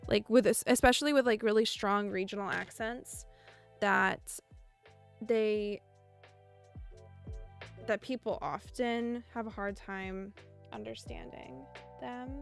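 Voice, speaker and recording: A woman's voice: medium pitch, fairly even intonation, takes it slowly, gravelly, pauses often, soft background music. A young American woman chatting casually with viewers. She speaks in a meandering, thoughtful way and laughs often. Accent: General American. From United States. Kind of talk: casual chat.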